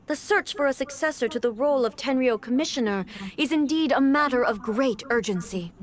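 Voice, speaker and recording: Stern, regal ruler, higher-pitched. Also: female voice, lively intonation. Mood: stern, commanding. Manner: formal, measured, authoritative. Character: stern commanding female ruler, composed and regal. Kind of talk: video game voice acting.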